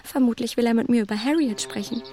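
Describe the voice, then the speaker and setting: An actress playing a proud, candid young gentlewoman in a radio drama, polite and articulate as she reproaches herself. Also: a little high, quick pace, music in the background, natural voice. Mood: guarded, polite. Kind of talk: German radio drama acting of a classic novel.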